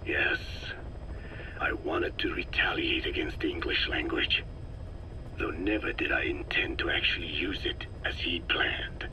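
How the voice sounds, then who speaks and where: An actor playing a very old, solemn, guilt-ridden scientist, speaking gravely and deliberately in a breathy, gravelly voice for a video game. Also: male voice, a bass voice, sharp tone, whispered, exaggerated voice, rough recording. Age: older. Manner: grave, deliberate, measured storytelling. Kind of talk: dramatic video game monologue.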